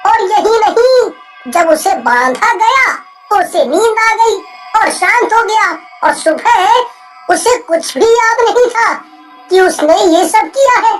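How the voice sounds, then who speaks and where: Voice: very high-pitched, fairly bright tone, heavily acted, poor audio. A voice actor playing a gossiping male villager in a children's cartoon.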